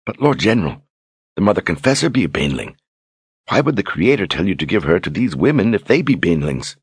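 A man's voice: low-pitched, very animated, quick pace, husky, no echo, pitched above the performer's own voice, heavily acted. A man voicing a simple, loyal young woman who talks cautiously in rustic grammar. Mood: naive.

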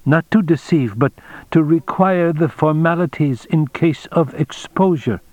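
A man voicing an elderly Japanese general in an audiobook, fatherly and shrewd, with formal, measured phrasing. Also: fairly high pitch, a little mellow, dry recording, pitched above the performer's own voice, close to an everyday voice. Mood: explanatory, composed.

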